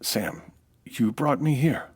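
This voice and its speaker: An actor playing a hard-boiled, wry American nightclub owner turned sleuth, in the terse style of a 1940s radio detective drama. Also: male voice, medium pitch, expressive, slow pace, husky. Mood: weary, wry. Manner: terse, noir-style storytelling.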